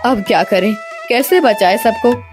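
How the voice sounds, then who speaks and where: A woman voicing a caring, fretful village mother with an expressive, fussing manner in a storybook tale. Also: a little high, unhurried, natural voice. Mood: worried. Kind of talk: storybook character dialogue. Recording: music bed behind the voice.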